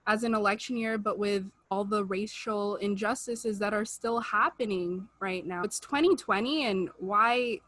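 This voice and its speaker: A woman's voice: mid-range voice, measured pace, few pauses, a bit bright, dry recording. A young woman co-hosting a podcast, talking earnestly in casual chat on a video call from home. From United States. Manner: earnest, talks with rising feeling, many "like" and "you know". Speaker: podcast co-host. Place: room at home, indoors. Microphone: video call webcam mic.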